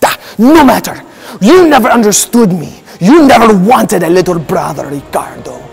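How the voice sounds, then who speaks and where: An actor playing a grandiose comic villain professor with a wounded streak and a put-on European accent, in a theatrical skit. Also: male voice, high voice, very animated, normal speed, gravelly, soft background music, exaggerated voice. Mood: bitter, wounded. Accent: put-on foreign European accent. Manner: grandiose, theatrical, swinging from shouts to hushed asides. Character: melodramatic male comic villain professor, wounded younger brother. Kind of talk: comic villain monologue in a children's church skit.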